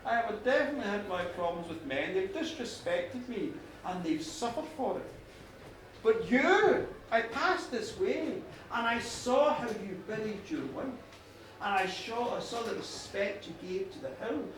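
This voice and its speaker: A woman voicing an ancient, powerful warrior goddess in a told legend, measured and assured. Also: medium pitch, lively intonation, voice pushed down, close to an everyday voice. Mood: solemn, proud. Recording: echoey room, distant mic, faint noise.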